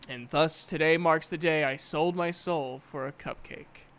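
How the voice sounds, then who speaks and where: Woman acting a dry, reluctant schoolboy, slow-paced. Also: low voice, steady tone, a bit bright. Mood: resigned. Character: reluctant, dry, sardonic high-school boy, narrating his thoughts. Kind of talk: fan dub of a visual novel.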